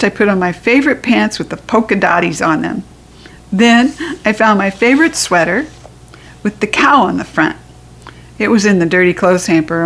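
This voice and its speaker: Playful American woman reading a children's story. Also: medium pitch. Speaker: storybook reader. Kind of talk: expressive children's story narration.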